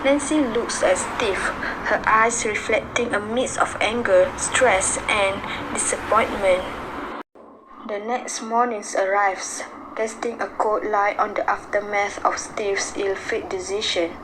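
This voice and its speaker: A woman's voice: medium pitch, fairly even intonation, measured pace. A woman reading scripted radio drama narration in a dramatic storytelling manner. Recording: clear background noise.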